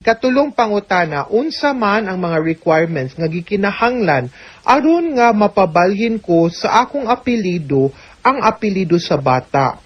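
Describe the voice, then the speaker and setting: A woman's voice: mid-range voice, sing-song, normal speed, slightly rough. Cebuano-accented woman adviser, dull lo-fi sound. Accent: Cebuano Filipino. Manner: conversational, step by step, often checking with "no?". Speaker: legal adviser on a radio programme. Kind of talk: explanatory advice, answering listener questions. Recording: dull, lo-fi radio audio.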